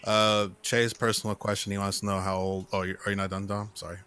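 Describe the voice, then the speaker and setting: A man's voice: fairly low pitch, flat delivery, quick pace, bright, forceful tone, clean tone. A man in his mid-twenties reading out questions on a gaming livestream, with casual, crude, teasing banter.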